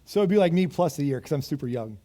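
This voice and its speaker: A man in his thirties preaching a sermon, moving between raised urgent passages and quiet, intimate ones, with self-deprecating asides. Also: fairly high pitch, lively intonation, fast. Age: 30s. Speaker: pastor. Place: church.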